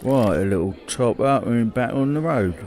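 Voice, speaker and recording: A man's voice: medium pitch, expressive, moderate pace, darkish tone, lightly acted. A man acting a middle-aged, seasoned criminal with a British accent, talking in a matter-of-fact, colloquial way in a drama. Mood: world-weary, casual. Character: seasoned, hard-drinking criminal old hand, casually cold. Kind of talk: naturalistic audio drama dialogue.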